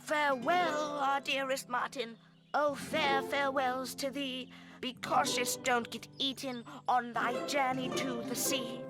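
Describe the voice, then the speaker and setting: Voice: high voice, level tone, measured pace, music in the background. An actor voicing a sentimental little mole poet, earnest and theatrical with quaint politeness, in a children's audio drama. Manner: earnest, theatrical, old-fashioned polite wording.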